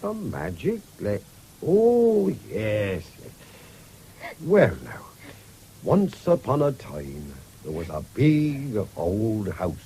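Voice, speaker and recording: A man's voice: medium pitch, very animated, dark tone. An actor playing a patient old farming grandfather in a radio drama, with a rural English accent and a soothing, coaxing manner. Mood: soothing storytelling. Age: older. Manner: soothing, coaxing, drawn-out delivery. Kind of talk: BBC radio drama acting. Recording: faintly noisy old radio broadcast.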